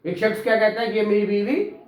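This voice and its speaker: A man's voice: high voice, fairly even intonation, fast. A man with a Pakistani Punjabi accent lecturing on law to a class, repeating key phrases and prompting students with questions. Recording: echoey, reverberant room.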